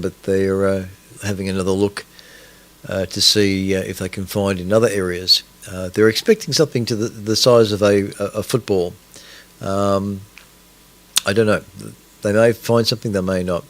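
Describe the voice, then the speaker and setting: An Australian man hosting a casual astronomy radio broadcast, rambling and hesitant with many fillers as he sets things up. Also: on the low side. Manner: rambling, hesitant, many fillers, talks to himself while setting up. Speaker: radio host.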